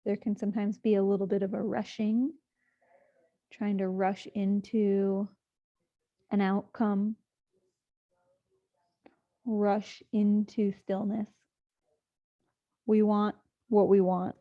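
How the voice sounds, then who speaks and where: A woman guides a sleep meditation in a soothing, gently paced voice with long pauses. Her pitch stays flat and even. The recording sounds slightly muffled and lo-fi.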